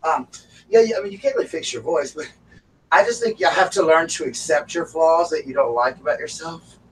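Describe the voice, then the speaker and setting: A raspy man with a Southern US accent hosts a casual live stream, talking like he is chatting with friends. He sits a little away from his mic, and a faint hum of noise stays under his voice. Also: fairly high pitch, brisk, fairly bright tone, distant mic, rough recording. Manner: chatty, breaks off to call his dogs.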